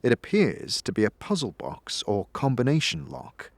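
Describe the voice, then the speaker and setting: A man narrating a fantasy tale in a scripted, dramatic style, with suspenseful, deliberate storytelling. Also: medium pitch, very animated, creaky, no echo.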